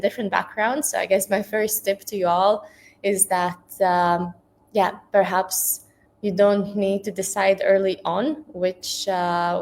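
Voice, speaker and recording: A woman's voice: mid-range voice, darkish tone. A woman of about thirty with a Latvian accent, talking informally as a guest speaker in a reflective, somewhat hesitant way. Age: around 30. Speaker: guest speaker, nonprofit tech professional. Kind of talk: informal career talk. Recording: faint background noise.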